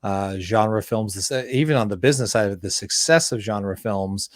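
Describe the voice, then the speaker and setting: A Canadian man chatting casually as an interviewer, wordy and halting, often breaking off and restarting his sentences. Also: fairly low pitch, dry recording, clean studio sound. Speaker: interviewer and filmmaker. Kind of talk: casual podcast interview chat.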